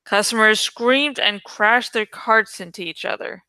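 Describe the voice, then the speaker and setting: Bright, crisp woman reading fiction. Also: medium pitch, a little slow, no room sound. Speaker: audiobook reader. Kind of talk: dramatic scripted narration of a young adult novel.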